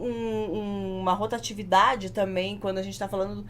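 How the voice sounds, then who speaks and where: A woman's voice: medium pitch, level tone, moderate pace, a crowd in the background. A Brazilian woman speaking casually as a podcast guest about business, often repeating words as she forms her thoughts. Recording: clear background noise, room ambience.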